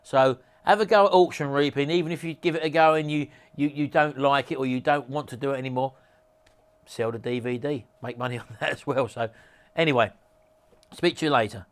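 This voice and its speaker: A man, giving an informal, encouraging, plain-spoken sales pitch to camera in a small home office. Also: fairly even intonation, brisk. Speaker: presenter promoting an auction reselling course.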